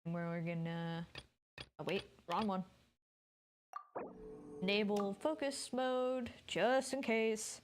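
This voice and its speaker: A woman's voice: medium pitch, steady tone, slow, long pauses, music in the background. A woman game streamer giving casual, chatty commentary and thinking aloud as she plays.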